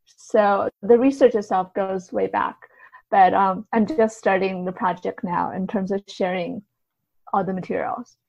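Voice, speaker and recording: A woman's voice: medium pitch, steady tone, unhurried, gravelly, darkish tone. Woman guest, slightly dull lo-fi sound. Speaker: podcast guest, writer and translator. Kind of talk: conversational interview answers.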